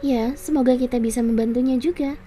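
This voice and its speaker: A woman's voice: a little high, steady tone, fast talker, crowd noise. A woman with an Indonesian accent live-streaming a game, reacting casually and reading dialogue aloud.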